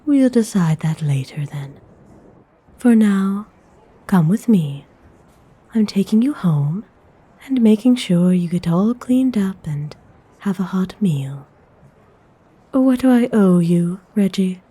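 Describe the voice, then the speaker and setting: A woman playing an aristocratic lady vampire in an ASMR audio roleplay, speaking softly in a formal, refined, half-whispered way, haughty yet benevolent. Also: medium pitch, lively intonation. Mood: commanding, curious. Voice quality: soft, breathy, half-whispered. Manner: unhurried, formal, coolly refined.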